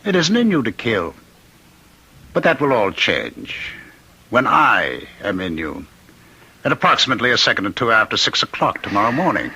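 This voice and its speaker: A taunting, menacing condemned killer. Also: male voice, fairly low pitch, wildly swooping pitch, pushed and bright, slight background noise, heavily acted, poor audio. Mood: mocking, menacing. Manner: deliberate, mocking, savoring his words. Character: condemned male killer, gloating, menacing, taunting, claims to be the Ripper. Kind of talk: radio mystery acting.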